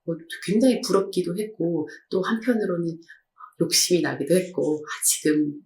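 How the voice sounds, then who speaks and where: A woman's voice: on the low side, moderate pace, reverberant. A woman hosting an educational discussion, telling stories in a conversational way. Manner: conversational, animated storytelling. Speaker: host.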